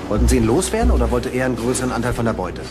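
An actor playing a pressing, accusatory police investigator in a German crime radio drama. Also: male voice, mid-range voice, sing-song, rapid-fire, music in the background, lightly acted.

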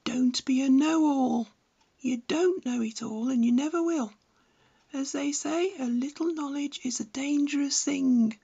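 A woman's voice: sits high, gravelly, warm tone, slightly rough. A woman reading scripted instructional narration in a blunt, didactic manner. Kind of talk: scripted narration, tutorial with opinionated advice.

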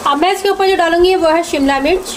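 A woman's voice: extremely high pitch, quick pace. A woman gives plain, step-by-step cooking instructions as the host, often repeating herself. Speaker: home cooking show host. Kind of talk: step-by-step recipe narration. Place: home kitchen at the stove. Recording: noisy kitchen with sizzling pan. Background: frying, sizzling, stirring.

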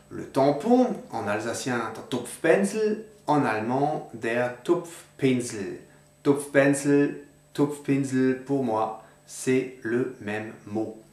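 A middle-aged man speaks to camera from a desk in an indoor room. He explains in a measured, teacherly way and talks to the viewer as a friend. His mid-range voice carries a noticeable room echo. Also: medium pitch, a little mellow. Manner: measured, addresses viewer informally, reads out paired words. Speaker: video lecturer on the dialect. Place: indoor room with books on a table. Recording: roomy echo.

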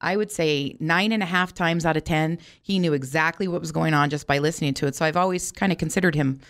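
A woman's voice: low-pitched, fast talker. A middle-aged woman talking in a radio interview as the co-owner of an auto repair shop.

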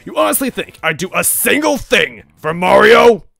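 Storybook monster king, played by a male narrator: huge, bombastic, booming, slangy and sly, swinging widely in pitch over music. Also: very animated, fairly quick, fairly bright tone. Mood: furious, booming. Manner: casual slangy speech with swaggering showmanship.

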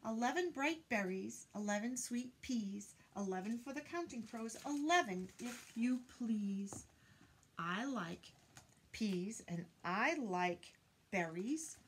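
Older woman, slightly high voice, slow pace, children's read-aloud, singsong counting, questioning, noisy home recording. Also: expressive. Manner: singsong counting, deliberate, prompting listeners with questions. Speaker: children's teacher reading aloud. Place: indoors, small room lined with bookshelves.

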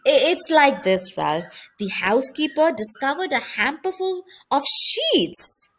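Bossy, cautious male mouse elder for a storybook, emphatic, unhurried, lively pitch played by a woman. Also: heavily acted. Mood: grave, explaining. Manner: emphatic, admonishing.

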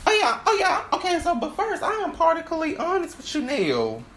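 A woman performing an improvised stage-play monologue, halting and stammering as she repeats her phrases. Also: very high voice, wildly swooping pitch, a little hiss or hum.

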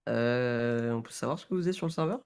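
A man with a medium-pitched voice. He speaks casually and colloquially on voice chat as a game server staffer, keeping it matter-of-fact. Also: brisk, smooth.

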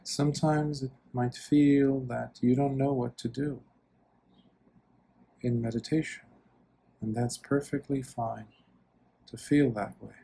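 A man leading a guided meditation as a monk, speaking gently and soothingly in short phrases with long pauses. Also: medium pitch, fairly even intonation, creaky, slightly rough, low-quality recording.

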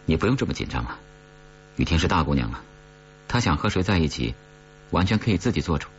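A man voicing a curt, controlled older brother who briskly takes charge in an audiobook story. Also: low-pitched, very animated. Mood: curt, composed. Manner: brisk, authoritative. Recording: dull recording with faint hum.

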